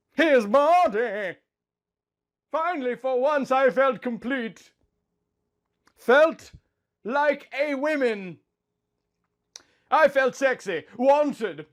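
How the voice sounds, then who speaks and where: Man playing a lovestruck young bride, theatrical swings in pitch. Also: fairly bright tone, full character voice. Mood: swooning. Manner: swooning, overwrought. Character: young woman narrating her honeymoon, breathy, lovestruck. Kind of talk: melodramatic romantic fan fiction reading.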